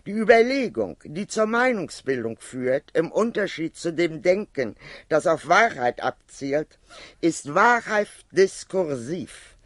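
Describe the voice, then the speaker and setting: A man with a somewhat high voice delivers a philosophical lecture from a written text. His phrasing is measured and precise, with deliberate emphasis. The sound is dry and close, with little room around it. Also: big pitch swings, no room sound. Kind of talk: formal philosophical lecture, read from a prepared text.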